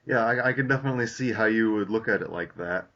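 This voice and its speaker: Halting low-voiced man on a lo-fi podcast. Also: pushed and bright. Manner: halting, many fillers and restarts. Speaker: podcast host. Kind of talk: casual podcast discussion. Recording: lo-fi dull audio.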